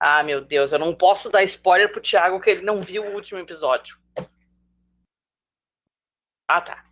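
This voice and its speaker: A woman's voice: on the low side, normal speed, fairly bright tone. A woman with a Brazilian Portuguese accent teaching a live online sociology class, chatty and jokey, talking straight to her students.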